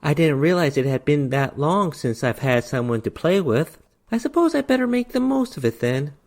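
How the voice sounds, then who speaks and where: Narrator playing a female demoness for a horror storybook: bored, teasing, menacing, swooping in pitch. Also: sing-song, moderate pace, a little mellow. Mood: playfully menacing. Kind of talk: dramatic short story reading.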